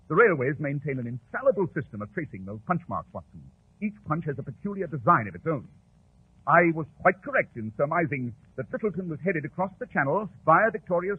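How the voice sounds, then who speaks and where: Confident British sleuth, clipped theatrical diction, husky edge, tinny 1940s radio recording. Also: male voice, on the high side, full character voice. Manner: clipped, precise, theatrical, self-assured. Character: brisk, confident, brilliant male London detective. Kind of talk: 1940s radio drama acting.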